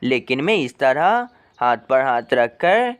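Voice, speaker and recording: Narrator voicing a smooth-voiced, earnest mother bird. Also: medium pitch, very animated, slow, pitched below the performer's own voice. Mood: determined, worried. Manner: earnest self-talk. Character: worried, hardworking mother sparrow, caring and determined.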